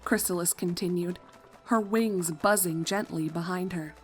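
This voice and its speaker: A woman's voice: lowish voice, unhurried, faint music behind. A woman reading scripted story narration as the narrator of a fan fiction.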